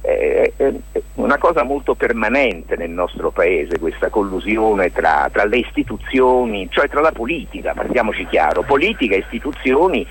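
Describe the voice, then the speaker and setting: A man with a fairly deep, rough-edged husky voice answers as a radio interview guest. He talks in a halting, spontaneous way, repeating himself and seeking confirmation as he goes. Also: expressive. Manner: halting, circling back with check-in tags like "capito" and "no?". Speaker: lawyer and stage author, interview guest.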